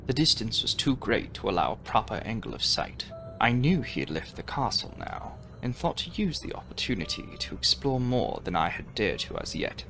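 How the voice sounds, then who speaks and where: A man giving a theatrical, dramatic audiobook reading of a gothic novel, sometimes dropping into hushed, breathy passages. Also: lowish voice, sing-song, normal speed, a little hiss or hum, low-quality recording. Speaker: audiobook reader. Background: music under the reading.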